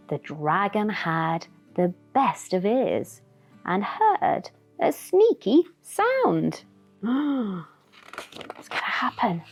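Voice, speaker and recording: A woman's voice: a little high, darkish tone. A woman reading a rhyming children's story dramatically, with asides to young listeners. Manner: dramatic, with gasps and whispered asides. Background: background music.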